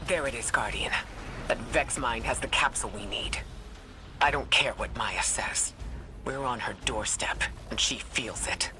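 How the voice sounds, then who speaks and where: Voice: medium pitch, unhurried, over music, natural voice. An actor playing a serious, resolute commander in a game, terse and determined. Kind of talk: scripted game cutscene dialogue.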